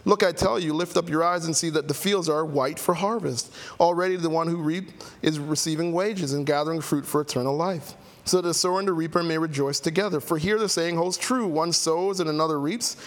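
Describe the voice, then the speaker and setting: A man preaching a sermon, reading scripture aloud and explaining it with animated emphasis and playful asides. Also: sits high. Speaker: pastor. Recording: reverberant hall.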